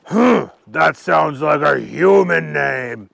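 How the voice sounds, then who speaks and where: Guy doing a big gentle dragon for a kids story, really slow n drawn out, gravelly, kinda weepy. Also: animated, no room sound, exaggerated voice. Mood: slow, musing. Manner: slow, drawn-out, booming exclamations. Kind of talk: children's storytelling character voice.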